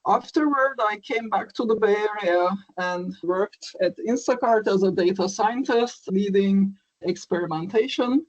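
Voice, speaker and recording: A woman, a tech executive, introducing her background in a measured, orderly way during an interview. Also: medium pitch, lo-fi sound. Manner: measured, resume-like self-introduction. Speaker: startup CTO guest. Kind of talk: business interview answers.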